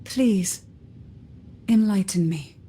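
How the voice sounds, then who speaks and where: A woman playing a poised, secretive, softly teasing noblewoman in a video game, with a measured, formal, silky delivery. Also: mid-range voice, slightly rough. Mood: poised, probing.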